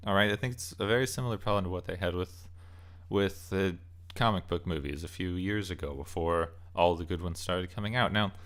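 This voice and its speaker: A man hosting a video channel, giving a casual, off-the-cuff opinion commentary and thinking aloud in broken phrases. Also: sits low. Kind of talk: casual opinion rant about game movies.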